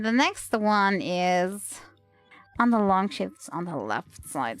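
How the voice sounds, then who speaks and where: A woman livestreaming a game with casual, rambling commentary, playful and self-deprecating. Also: mid-range voice.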